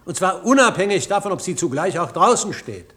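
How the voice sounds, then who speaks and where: A man acting in a radio play as an impatient scientist who lectures in a brisk, dryly ironic, didactic way. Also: high-pitched, sing-song, bright, forceful tone, heavily acted. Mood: lecturing, animated. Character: impatient, ironic male scientist, a lecturing professor explaining theories. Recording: noisy old radio play recording.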